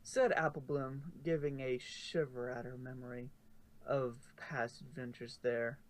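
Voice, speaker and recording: Male narrator, mid-pitched, storybook reading style. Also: steady tone, measured pace, low-quality recording. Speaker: narrator of a fan fiction reading. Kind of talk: storybook narration with casual asides.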